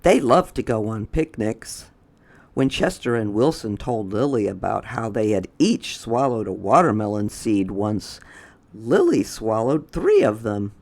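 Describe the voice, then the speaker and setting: A man's voice: fairly low pitch, big pitch swings, a little slow, a little mellow. A man reading a children's storybook aloud as a storytime host. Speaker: storytime reader.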